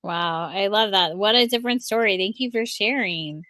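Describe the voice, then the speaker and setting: A woman's voice: medium pitch, a bit bright, no echo. Eager woman hosting a live stream. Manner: enthusiastic, quick when handing over to guests. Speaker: host of a book launch livestream.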